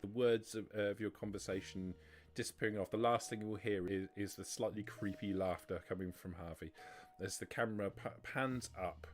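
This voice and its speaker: A man's voice: lowish voice, fairly even intonation, a little fast. A man narrating a tabletop roleplaying game as game master. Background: music.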